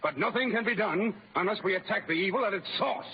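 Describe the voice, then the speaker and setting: A man's voice: high-pitched, quick pace, husky, full character voice. An actor playing an indignant civic committee spokesman in an old-time radio drama, formal and declamatory. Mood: indignant, insistent. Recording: muffled old radio recording.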